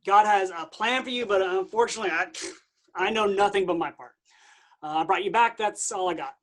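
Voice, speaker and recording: A woman's voice: medium pitch, fairly quick, close to an everyday voice. A woman playing a flippant, laid-back male messiah who talks like a slangy dude, in a staged reading of a comic play. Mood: breezy, glib.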